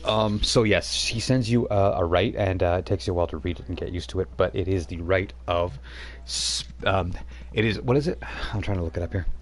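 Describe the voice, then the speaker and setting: A man's voice: deep voice, a little fast. A man narrating as game master in a live-streamed tabletop game, rambling with many fillers. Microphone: home microphone on a live stream.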